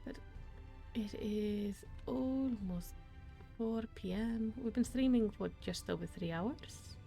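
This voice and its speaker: A woman from Iceland with an Icelandic accent, chatting casually and warmly on her gaming stream. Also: medium pitch, slow, vocal fry, faint music behind. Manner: chatty and friendly, with restarts.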